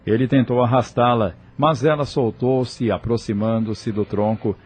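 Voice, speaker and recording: A man's voice: medium pitch, steady tone, measured pace, a little mellow, slightly rough. A man reading scripted narration as the narrator of an audio novel.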